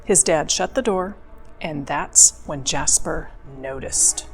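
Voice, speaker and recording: A woman's voice: low voice, slow. A woman reading a children's picture book aloud expressively, drawing out spooky words for drama. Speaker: storyteller. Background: background music.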